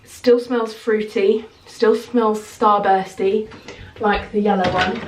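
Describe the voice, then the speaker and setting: A woman's voice: medium pitch, fairly even intonation, slow, slight background noise. Chatty woman, a bit nasal, roomy echo. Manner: chatty, gestures with hands while talking. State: slightly nasal from a cold. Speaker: skincare consultant vlogger. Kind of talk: casual direct-to-camera vlog. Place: small bedroom at home, indoors. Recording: roomy echo, slightly distant mic.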